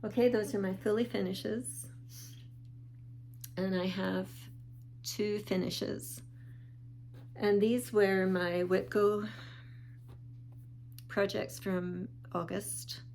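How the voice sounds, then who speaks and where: A woman talking casually in a show-and-tell vlog, calm and unhurried with small pauses, sounding a bit sniffly. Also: medium pitch, vocal fry, a little hiss or hum. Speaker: vlogger.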